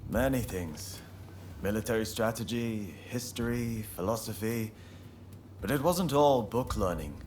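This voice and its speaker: An actor playing a fiery, proud and idealistic young samurai in a video game, with earnest, formal phrasing. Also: male voice, fairly low pitch, measured pace, a little mellow, a little hiss or hum, natural voice. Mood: reflective, warm. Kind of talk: video game cutscene acting.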